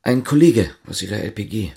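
A man's voice: fairly low pitch, sing-song, fairly quick, creaky, mellow. An actor playing a guarded East German policeman in a radio drama, terse and matter-of-fact. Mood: sober, controlled. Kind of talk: radio drama acting with inner monologue.

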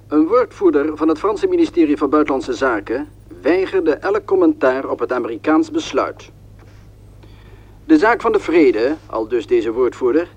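A man playing a formal, measured radio news correspondent with a husky voice, in a dull radio recording. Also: a little high, a little mellow, full character voice.

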